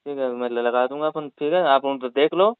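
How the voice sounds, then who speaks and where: A man's voice: mid-range voice, brisk, clear, resonant tone, no room sound. A man speaking hesitantly and placatingly in a phone conversation, over a narrow-band call recording.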